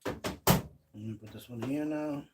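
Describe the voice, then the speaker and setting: A man chatting casually and haltingly on a hobby live stream, muttering as he handles his gear. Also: medium pitch, very noisy.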